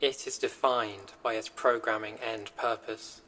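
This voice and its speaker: A man voicing an impassive corporate AI in an audio roleplay, reciting directives in a formal, measured, impersonal way. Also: fairly low pitch, steady tone, bright, forceful tone, poor audio. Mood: impassive, instructive. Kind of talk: scripted audio roleplay announcement.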